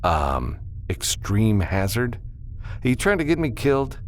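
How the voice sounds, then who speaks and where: A man voicing a gruff, reluctant loner who pilots a spaceship for hire in an audiobook. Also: on the low side, sing-song, unhurried, slight background noise, voice pushed up. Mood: incredulous.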